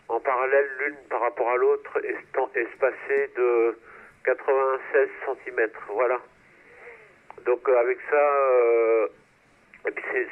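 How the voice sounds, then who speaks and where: A man chatting casually over amateur radio, halting and repeating himself, his voice coming through a transmission. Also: medium pitch. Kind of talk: casual radio contact chat. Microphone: radio transceiver microphone. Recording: dull band-limited radio transmission.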